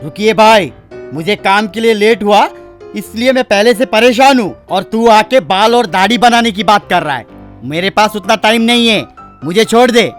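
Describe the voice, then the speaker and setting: A storyteller voicing an irritated, hurried village workman with a brusque, impatient manner in a cartoon story. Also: mid-range voice, faint music behind, close to an everyday voice.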